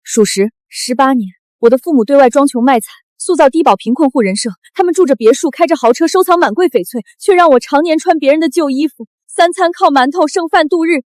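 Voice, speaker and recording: An actress as a young woman in a short drama, wronged yet resilient. Her high voice is earnest and declamatory, recorded close and dry. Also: a little fast, hardly any pauses, darkish tone, dry recording, natural voice. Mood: resolute. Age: young adult. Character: wronged, resilient young top student cast out by family.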